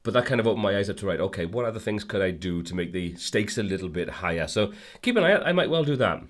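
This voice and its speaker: Host type: hoarse man with a cold, lowish voice, casual rambling livestream chat. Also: animated, quick pace. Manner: rambling, friendly, many fillers and restarts. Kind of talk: casual livestream chat reading viewer comments.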